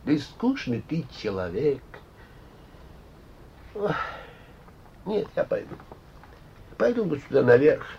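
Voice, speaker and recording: An actor playing a rambling, sighing, blunt and mocking injured inventor in a Soviet radio play. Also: male voice, a little high, huge pitch swings, takes it slowly, creaky, long pauses, a little mellow, a little hiss or hum, heavily acted. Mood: mocking, earnest. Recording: muffled old radio recording.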